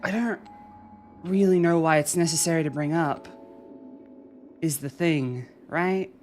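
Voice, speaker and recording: Slow, soothing game streamer chatting. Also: mid-range voice, faint music behind. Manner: chatty, reads and answers chat; soft, soothing delivery. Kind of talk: casual live-stream commentary.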